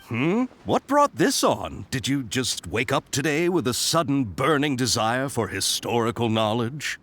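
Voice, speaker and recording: A man's voice: mid-range voice, very animated, a little slow, fairly bright tone. A man playing a friendly, chatty, eccentric engineer in a video game, with a theatrical way of talking. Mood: curious, obliging. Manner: theatrical, talkative. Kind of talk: video game character acting.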